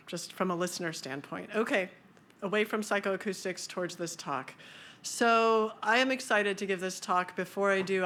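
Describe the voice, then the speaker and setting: Casual woman presenter at a science conference, loose and joking, lower register. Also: fairly bright tone, slight background noise. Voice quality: high-pitched voice. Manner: casual, self-deprecating, many fillers. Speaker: conference speaker.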